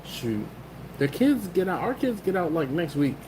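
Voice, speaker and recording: A man's voice: a little high, big pitch swings, normal speed, background noise. A man hosting a casual livestream, reading chat comments aloud and rambling with laughs and restarts. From Maryland, US. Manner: rambling, laughs often, self-interrupting.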